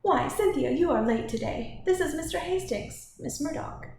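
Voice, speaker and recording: A woman's voice: high voice, animated, moderate pace, a little mellow, pitched above the performer's own voice. A woman voicing an imperious, gushing elderly lady of the manor in a grand, emphatic manner. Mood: gushing, exclaiming. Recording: roomy echo, faint background noise.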